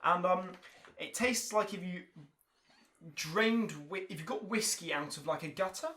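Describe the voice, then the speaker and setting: A drunk English man as a podcast guest, rambling haltingly and with mock earnestness in casual chat over a shared mic. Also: high-pitched, pushed and bright. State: drunk on whisky. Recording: faint noise, shared single mic.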